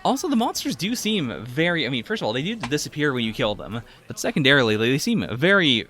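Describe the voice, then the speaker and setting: A man's voice: mid-range voice, big pitch swings, fast talker, sharp tone, faint music behind. A man giving casual, analytical anime reaction commentary as a podcast co-host, musing as he talks.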